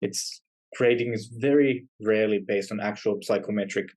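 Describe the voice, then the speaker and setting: A young adult man with a somewhat low voice talks as a guest academic. He explains ideas in a reflective way and laughs lightly now and then. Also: normal speed, a little mellow. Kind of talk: academic interview conversation.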